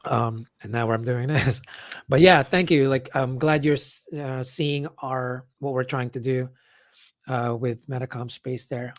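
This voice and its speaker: A man with a hoarse, worn-out voice hosting a casual live Q&A, rambling with lots of fillers and restarts as he answers comments. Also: mid-range voice, fairly even intonation, measured pace, no echo, studio quality. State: hoarse, losing his voice. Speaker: event organizer hosting a live update. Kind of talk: casual livestream Q&A.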